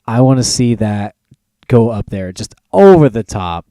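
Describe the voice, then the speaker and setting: Male podcast host, low and relaxed, closely recorded and dry, casual countdown style with halting, repetitive phrasing. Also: no room sound.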